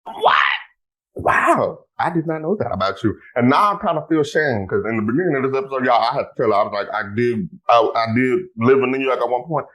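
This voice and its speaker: Animated man hosting a podcast. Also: mid-range voice, quick pace, rough recording. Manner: animated, exclaims loudly, talks straight to listeners. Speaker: podcast host. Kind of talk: casual podcast chat.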